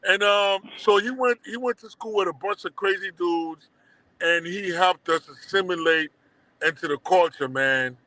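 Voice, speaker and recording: A middle-aged man with a Haitian accent, guest on a casual livestream interview, telling animated stories full of slang and swearing. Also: sits high, normal speed, no echo, rough recording. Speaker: guest, documentary producer.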